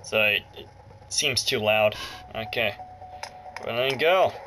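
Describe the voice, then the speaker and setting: A man's voice: fairly low pitch, a little slow, background noise. A man giving casual, jokey let's play commentary, often muttering and exclaiming to himself as he plays. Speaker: gaming video host. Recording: loud game music over the voice. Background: handheld game music and sounds.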